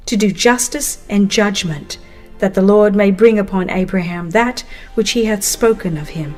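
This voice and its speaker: A woman reading a religious book aloud as dramatized scripted narration, solemn, earnest and measured. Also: medium pitch, darkish tone. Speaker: audiobook narrator.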